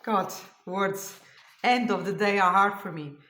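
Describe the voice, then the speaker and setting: A woman's voice: medium pitch, measured pace, a bit bright, echoey room. A woman whose first language is Portuguese, hosting a cross stitch vlog, tired at the end of a long day, chatting and thinking aloud as she searches for words. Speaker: cross stitch channel host. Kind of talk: casual show-and-tell vlog.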